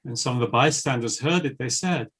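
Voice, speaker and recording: A man narrating scripture in a solemn, measured and formal reading style. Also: medium pitch, a bit bright, a little hiss or hum.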